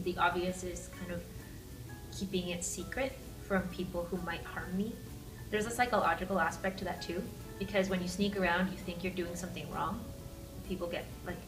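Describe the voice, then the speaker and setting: Young woman from Peru, distant roomy mic. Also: on the low side. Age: young adult. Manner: candid, conversational, frequent "like". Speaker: college student. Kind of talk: documentary interview answers.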